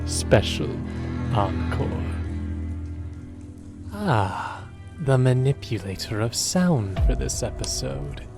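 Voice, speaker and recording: A man playing a sinister, gloating villain who savors each name on a list with theatrical menace. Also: mid-range voice. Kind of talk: theatrical horror credits reading.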